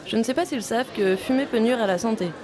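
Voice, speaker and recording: A young woman from Vietnam, a native Vietnamese speaker, calmly narrating a personal video diary as a visiting film student. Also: on the high side, fast talker, a crowd in the background, background noise. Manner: calm, reflective, first-person storytelling.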